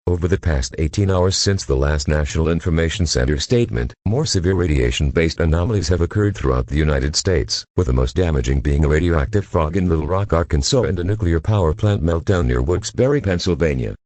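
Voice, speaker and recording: Man's voice as an emergency alert announcer, extremely deep, raspy, formal, impersonal, scripted bulletin, dry sound. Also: lively intonation, a little fast, few pauses, darkish tone, no room sound. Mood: impersonal, official.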